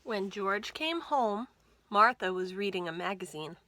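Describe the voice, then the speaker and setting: A woman in her thirties reading a picture book aloud to children, with careful, expressive storytelling. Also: mid-range voice, no echo. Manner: slow, careful, expressive delivery for young children. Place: small indoor room lined with bookshelves.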